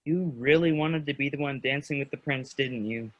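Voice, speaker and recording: A man's voice: medium pitch, moderate pace, muffled recording, close to an everyday voice. A man playing a practical, skeptical young friend in a staged reading, dry and teasing. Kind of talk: staged reading of children's theater.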